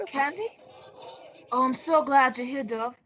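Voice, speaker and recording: A woman's voice: sits high, a little slow, fairly bright tone. A woman playing a fretful, theatrical male sidekick in an old-time radio drama, fussy and exclamatory. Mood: anxious, indignant. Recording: dull lo-fi audio.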